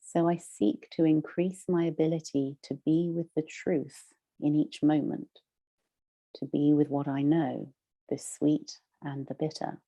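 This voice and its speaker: A woman leading a guided meditation as a teacher, soothing and measured, with long pauses between phrases. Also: sits low, little pitch movement, dark tone.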